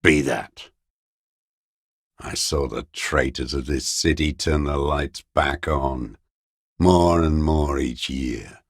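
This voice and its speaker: A scornful old communist deserter in a video game. His voice is extremely low and gravelly, recorded close and dry. He speaks with bitter, unrepentant contempt, sneering at whoever he addresses. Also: takes it slowly, no room sound, full character voice. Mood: bitter, dismissive. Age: older. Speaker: voice actor. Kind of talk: dramatic video game character dialogue.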